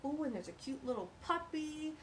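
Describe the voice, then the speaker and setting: A woman's voice: high voice, lively intonation, normal speed, a bit bright, slight background noise. A woman hosting a children's storytime, reading a picture book aloud with gushing, exclamatory asides.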